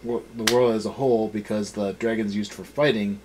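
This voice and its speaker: A man's voice: on the low side, fairly even intonation, a little fast, rough recording. Guy ranting casually on a review show, blunt, kinda dismissive, bit of noise in the recording. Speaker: co-host of a card game anime review. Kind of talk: casual opinionated discussion.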